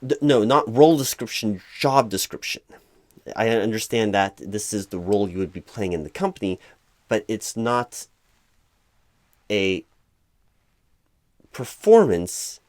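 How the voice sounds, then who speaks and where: A man playing a polite, flustered, bureaucratic recruiting manager in a spoken interview roleplay, haltingly repeating himself and over-explaining. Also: on the low side, animated, darkish tone, no room sound. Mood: flustered, exasperated. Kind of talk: one-sided ASMR interview roleplay, spoken aloud without whispering.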